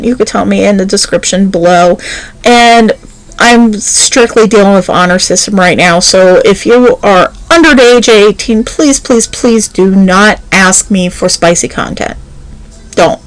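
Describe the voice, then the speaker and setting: A woman hosting her own voice-acting channel, easing through a loose spoken intro at a slow pace. She rambles and fills gaps with ums, sounding a little nervous. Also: fairly high pitch, dry recording, poor audio. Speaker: voice actor and channel host. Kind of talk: casual spoken intro to an audio roleplay.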